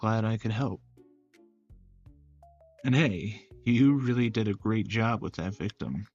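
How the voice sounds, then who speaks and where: Visual novel male lead: observant, protective, steady and unhurried acted by a man. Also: on the low side, husky, highs cut off, faint music behind, full character voice. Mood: calm, supportive.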